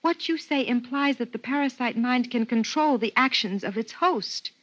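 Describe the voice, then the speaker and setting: Actress as a sceptical, earnest woman, fairly high voice. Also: moderate pace, lightly acted. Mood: incredulous. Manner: incredulous, questioning. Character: earnest, sceptical woman questioning an alien doctor. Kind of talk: 1950s radio drama acting. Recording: muffled 1950s radio recording.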